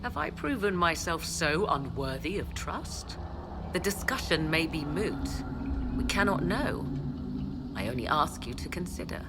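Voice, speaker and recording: Low-voiced, proud sorceress. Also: female voice, big pitch swings, noisy background. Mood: earnest, persuasive. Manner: formal, measured, archaic phrasing, haughty. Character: proud, guarded sorceress mother, driven by secret ambition. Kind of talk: dramatic scripted game dialogue.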